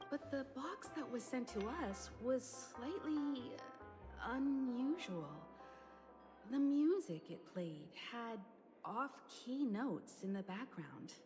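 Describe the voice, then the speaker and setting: A woman voicing a composed, intrigued adult traveller in a video game, speaking in a measured, knowing way. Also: on the high side. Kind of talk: video game story dialogue acting.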